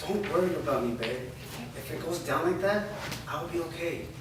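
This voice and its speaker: A man's voice: a little high, animated, normal speed, lightly acted. A man reading an easygoing young man. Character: young man, earnest and reassuring. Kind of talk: staged play reading. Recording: echoey room, far mic, hiss.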